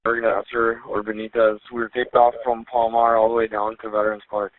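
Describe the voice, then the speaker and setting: A man's voice: on the low side, monotone, fast talker, dry recording. Male police officer on narrowband radio. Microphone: two-way radio.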